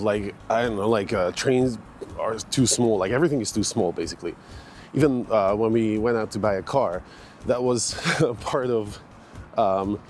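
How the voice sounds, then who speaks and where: Man in his forties, low voice. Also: darkish tone, a little hiss or hum. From Hungary. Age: 40s. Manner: rambling, many fillers and restarts. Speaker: fitness vlogger. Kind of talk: casual walking vlog. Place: outdoor park in the morning.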